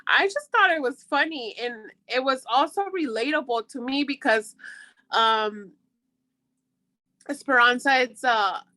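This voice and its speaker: A woman's voice: high voice, unhurried, bright, forceful tone, dry recording. A woman from Mexico whose first language is Spanish, talking casually and encouragingly in a workshop. She talks in a chatty way and often restarts her sentences.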